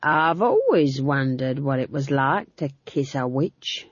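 Woman voicing a slow, drawling dungeon guard. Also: medium pitch, animated, no room sound, lo-fi sound. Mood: leering, menacing. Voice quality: drawling, menacing, rough dialect. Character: leering, coarse male dungeon guard.

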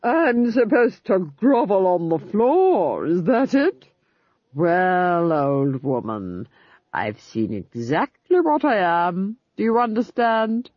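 A woman's voice: big pitch swings, slow, no echo, muffled recording, exaggerated voice. A woman reading an audiobook, voicing a cruel, gloating duchess who rants at her captives. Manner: shrill, ranting, exultant.

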